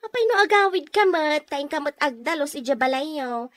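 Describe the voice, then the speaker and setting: A woman's voice: high voice, animated, normal speed, no room sound, clean studio sound. A woman with an Ilocano accent playing a house-proud, industrious neighbor in a radio comedy, firm and matter-of-fact. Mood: brisk, impatient.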